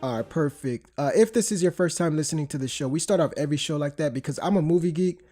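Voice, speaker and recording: A man's voice: on the high side, quick pace, dark tone, faint music behind. A man in his thirties hosting a podcast, stuffy from a cold, chatting loosely with many fillers and restarts. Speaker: podcast host. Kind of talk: casual podcast chat.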